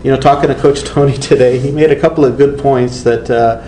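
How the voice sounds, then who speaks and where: A man's voice: mid-range voice, steady tone, a little fast, dark tone. A middle-aged man from Texas, a sports reporter chatting casually on a webcast panel. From Texas, USA. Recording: echoey room, faint background noise.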